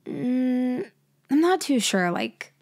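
A woman playing a cunning female mafia leader in an ASMR roleplay, speaking softly and hesitantly. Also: high voice, wildly swooping pitch, slow, dry recording, clean studio sound, heavily acted. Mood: feigned helplessness. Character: cunning female mafia leader feigning a helpless stranded motorist.

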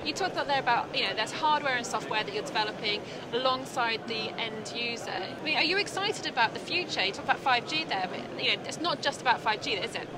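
A woman's voice: fairly high pitch, animated, a little fast, hardly any pauses. A woman interviewer asking conversational questions at a busy trade show. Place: large indoor trade show floor. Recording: loud crowd noise, exhibition hall.

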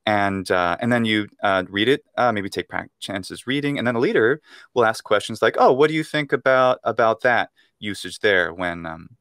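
A young American man talks through his ideas in a relaxed, rambling way, his voice fairly low and the sound close and dry. He speaks over an earphone mic on a video call from a room at home. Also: moderate pace, no echo. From United States. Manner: thoughtful, explains at length with fillers and restarts. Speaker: guest, Latin speaker and teacher.

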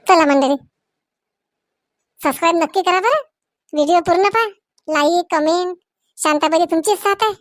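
Moralising cartoon matron voiced by a woman, speaking to viewers, high voice, emphatic, rural Maharashtrian accent, dry sound. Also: dry recording. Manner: advising, emphatic. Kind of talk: direct address to viewers.

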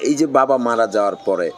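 A man's voice: mid-range voice, animated, brisk. A man with a Bangladeshi accent conducting an informal interview, asking questions and repeating the answers back. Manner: echoes the guest's answers. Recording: clearly noisy background.

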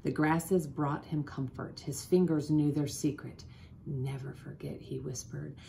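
A woman in her forties reading a children's picture book aloud in an expressive, deliberate way, pausing to put questions to listeners. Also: low-pitched, gravelly, a little hiss or hum. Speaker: school principal, guest storybook reader. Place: small office, indoors.

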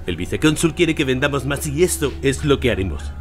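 A man's voice: medium pitch, animated, brisk, faint music behind, natural voice. An actor playing a bossy, overbearing male antiquities trafficker in an audio drama. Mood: domineering.

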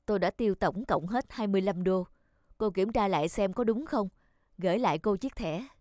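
A woman's voice: mid-range voice, a little fast, dark tone, dry recording, studio quality, close to an everyday voice. A woman voicing an honest, humble poor man in a cartoon, polite and grateful. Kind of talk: animated cartoon dubbing.

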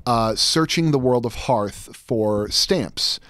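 A man in his thirties chatting casually as an interview guest, with halting, rambling explanations, close on a studio microphone. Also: mid-range voice, fairly bright tone, no room sound. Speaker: guest, editor and sound designer. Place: indoor podcast studio. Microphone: close studio microphone on a boom.

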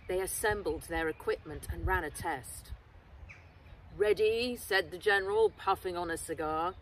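A middle-aged woman reading a children's adventure story aloud with dramatic, deliberate emphasis, recorded outdoors. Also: mid-range voice, fairly bright tone. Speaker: author reading own book aloud. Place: outdoors in woodland. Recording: distant mic, noisy outdoor ambience.

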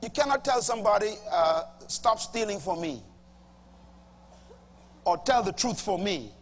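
A middle-aged Bahamian man preaching a sermon to a congregation, emphatic, swinging from loud bursts to low asides. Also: lively intonation, a little slow. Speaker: pastor. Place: large church auditorium with a congregation. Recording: reverberant hall, faint hum.

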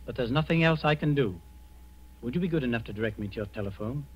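A measured, formal asylum doctor in a 1940s radio thriller. His voice is husky with lively inflection. The old recording sounds dull. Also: mid-range voice. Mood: firm. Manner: measured, formal, hesitant pauses.

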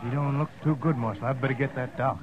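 An actor playing a gruff, wary cowboy in a 1950s radio western. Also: male voice, mid-range voice, fairly even intonation, fast talker, a crowd in the background, natural voice. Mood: grudging. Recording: muffled vintage radio recording.

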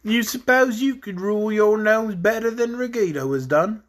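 A man voicing a huge, boastful dragon in a storybook, gruff and commanding in manner. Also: high voice, moderate pace, fairly bright tone, voice pushed up. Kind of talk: storybook character voice in narrated children's fantasy.

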